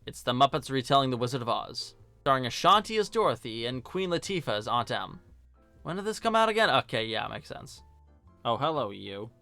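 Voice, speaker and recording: A man's voice: medium pitch, big pitch swings, brisk, vocal fry, pushed and bright, no echo, faint music behind. A man narrating a scripted film review in a casual, joking, sarcastic and colloquial way. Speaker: video host and film reviewer.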